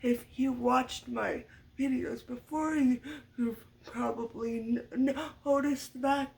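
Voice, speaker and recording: A woman's voice: a little high, fairly even intonation, takes it slowly, vocal fry, darkish tone. A young woman vlogger who stutters often, repeating sounds as she tells a sit-down story at home. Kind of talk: sit-down story time talk. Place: home room with her dog nearby. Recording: voice a bit far from the mic.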